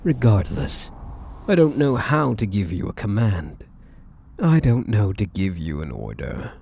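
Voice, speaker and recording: A man playing a defiant, protective vampire in an ASMR roleplay, with husky, breathy delivery and theatrical, formal phrasing. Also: lowish voice, big pitch swings, unhurried, darkish tone, full character voice. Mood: menacing, triumphant. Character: defiant, protective young male vampire, bitter and menacing. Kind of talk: ASMR audio roleplay acting.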